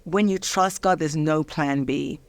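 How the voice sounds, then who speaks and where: Older london lady chatting in a studio, lively, laughs a lot, slows down to make a point, dry close sound. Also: sits low, no room sound. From London, England. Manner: animated, laughs often, slows for emphasis. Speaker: guest, minister. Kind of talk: studio interview chat.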